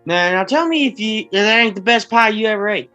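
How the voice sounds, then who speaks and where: A woman's voice: medium pitch, lively intonation, fairly quick, fairly bright tone, soft background music, lightly acted. A woman playing a friendly, slightly drunk forty-year-old man, a small-town mayor who stammers and rambles in a screenplay table read. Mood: proud, friendly.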